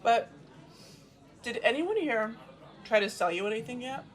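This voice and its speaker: A woman's voice: fairly high pitch, animated, measured pace. An actress playing an uneasy, watchful woman investigator who tells her story in a scripted audio drama. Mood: hesitant, probing. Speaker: narrator and lead character of an audio drama. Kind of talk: scripted first-person narration and dialogue.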